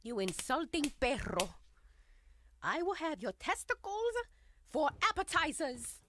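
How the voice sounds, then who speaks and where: A woman's voice: high-pitched, big pitch swings, a little slow, background noise. A woman playing a brash, jealous live-in partner, scolding and sassy in a zany audio comedy. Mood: furious. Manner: scolding, sassy, peppered with Spanish insults.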